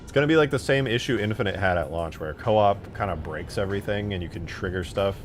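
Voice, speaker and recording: A man's voice: fairly low pitch, fast talker, soft background music. A man live streaming while he works, casually thinking aloud and muttering questions to himself. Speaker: game modding live streamer.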